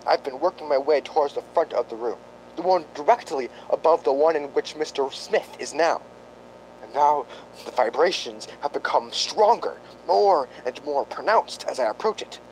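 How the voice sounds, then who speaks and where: Pompous, higher-voiced actor as a psychic investigator. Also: male voice, lively intonation, slight background noise, natural voice, poor audio. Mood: grave, intense. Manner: formal, deliberate, pompous. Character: grave, self-important male psychic investigator doctor. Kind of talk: old-time radio drama acting.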